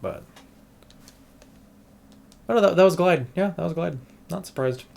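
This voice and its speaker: A man's voice: high-pitched, a little slow, vocal fry, a little mellow. A man streaming an emulator test, chatting casually and rambling with many restarts, saying he is losing his voice. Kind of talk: casual gameplay stream chat.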